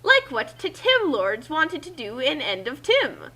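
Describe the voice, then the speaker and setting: A woman's voice: sits high, wildly swooping pitch, unhurried, pushed and bright. A woman giving casual, joking commentary with sarcastic interjections.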